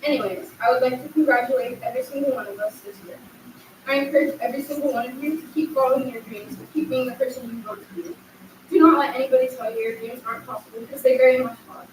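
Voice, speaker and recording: A woman's voice: high-pitched, quick pace. A young woman giving an earnest, heartfelt graduation speech, reading it aloud from a podium. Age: young adult. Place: large echoey hall at a graduation ceremony. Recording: echoey hall, distant mic, faint noise.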